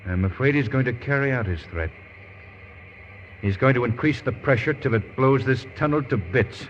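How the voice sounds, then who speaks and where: An actor playing a calm, protective male hero in a 1940s radio drama, with a steady, reassuring manner. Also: medium pitch, moderate pace, slight background noise, lightly acted. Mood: solemn. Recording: dull old radio broadcast with reverb.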